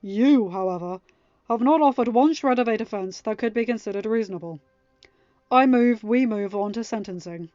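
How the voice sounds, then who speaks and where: A woman's voice: a little high, moderate pace, dark tone, dry recording, lo-fi sound, lightly acted. Young storybook king read by a woman, firm, authoritative, formal, over faint music. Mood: stern, firm. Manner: firm, formal, hard-edged. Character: stern, commanding young king chairing a trial.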